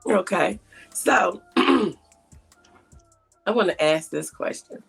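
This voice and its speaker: A woman's voice: mid-range voice, lively intonation, takes it slowly, gravelly, slightly rough, faint music behind. A woman hosting a casual interview, often rephrasing her questions as she goes. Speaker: host.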